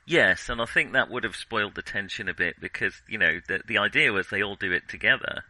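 A man's voice: lowish voice, fairly quick, bright, forceful tone, rough recording. A man co-hosting a film podcast, chatting casually and thoughtfully, with some hesitation.

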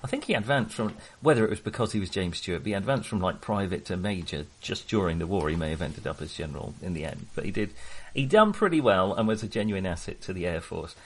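A man co-hosting a casual film discussion, speaking hesitantly and often halting mid-thought to start again. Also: low-pitched, lively intonation, husky. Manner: hesitant, halting, trailing into half-finished thoughts. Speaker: co-host of a film discussion show.

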